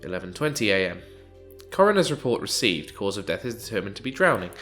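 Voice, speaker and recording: A man's voice: lowish voice, sing-song, fast, fairly bright tone. A man with a stuffy nose from hay fever, chatting wryly while playing through a video game and reading its text aloud. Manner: wry, chatty. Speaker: let's play host. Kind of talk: casual gameplay commentary. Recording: game music faintly behind.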